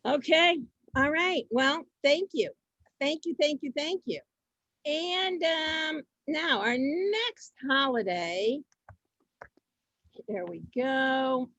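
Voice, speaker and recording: A woman hosting an amateur theater event informally, halting and often repeating her words as she goes. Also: sits high, animated, fairly bright tone, no room sound, dull audio. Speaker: host introducing performers.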